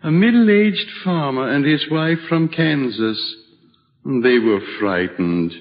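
Kindly old hermit in an old radio play, slow storyteller, medium pitch, muffled sound. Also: male voice, animated. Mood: serene, solemn. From Switzerland (the figure). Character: gentle old hermit, a kindly, serene widower. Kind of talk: old-time radio drama acting. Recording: dull vintage radio recording.

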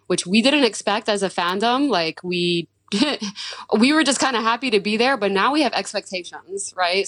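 A young woman with a hoarse voice from weeks of screaming, an excited football fan chatting casually in a radio interview. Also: fairly low pitch, dry recording. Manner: casual, upbeat, says "like" often.

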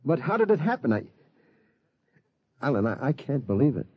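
A flustered, husky lawyer father. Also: medium pitch, very animated, normal speed, no room sound. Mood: bewildered. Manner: flustered, stammering. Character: worried middle-aged lawyer father. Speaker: father of the boy. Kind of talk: 1940s radio acting. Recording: lo-fi old radio recording.

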